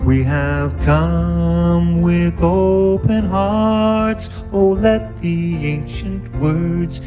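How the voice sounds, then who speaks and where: A woman singing a devotional hymn as a worship singer, slowly and with musical accompaniment. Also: fairly low pitch, over music.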